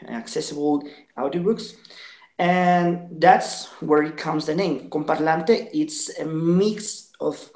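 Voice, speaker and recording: A young man from Ecuador with a Latin American Spanish accent, a native Spanish speaker, talking earnestly as an interview guest, with many fillers and pauses. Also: fairly high pitch, darkish tone, echoey room. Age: 20s. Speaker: guest, social entrepreneur. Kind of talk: video call interview.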